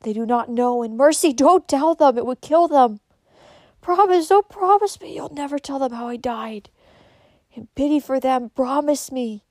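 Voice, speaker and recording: A woman's voice: mid-range voice, fairly quick, mellow, dry recording, natural voice. A woman voicing a bitter, weary young woman on her deathbed in a storybook, pleading in an anguished, dramatic way. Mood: desperate pleading.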